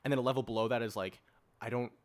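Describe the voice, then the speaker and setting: Man, early twenties, medium pitch, podcast host, casual discussion, informal and organizing. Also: steady tone, rapid-fire.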